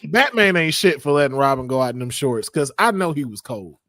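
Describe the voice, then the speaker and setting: A man hosting a casual podcast, raspy and hoarse after losing his voice, joking and laughing as he talks. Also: fairly high pitch, big pitch swings, brisk, a bit bright, no echo. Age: adult over twenty-two. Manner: playful, joking, laughs often. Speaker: podcast host. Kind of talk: casual chat.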